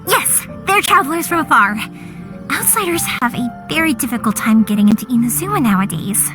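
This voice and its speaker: A cheerful young fireworks-maker chatters in a very high, bright voice that bounces up and down with energy. She is bold and upbeat, and loud game music plays behind her. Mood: reassuring. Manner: bubbly, quick and animated. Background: loud game background music.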